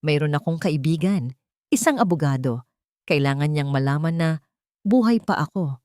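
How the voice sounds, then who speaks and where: A woman's voice: deep voice, animated, moderate pace, darkish tone, no echo. Woman voicing wronged young heroine, Filipino accent, determined, audio drama, dry recording. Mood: grave, urgent.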